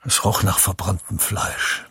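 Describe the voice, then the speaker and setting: An actor playing a sombre, weary male witness giving measured testimony in a radio documentary drama, with a very husky voice. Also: low-pitched, flat delivery, pushed and bright, no room sound, studio quality, exaggerated voice.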